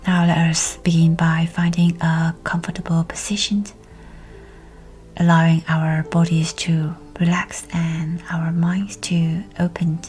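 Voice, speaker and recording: A woman leading a scripted guided meditation in a hushed, breathy, near-whispered voice, soothing and measured. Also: deep voice, monotone, faint music behind.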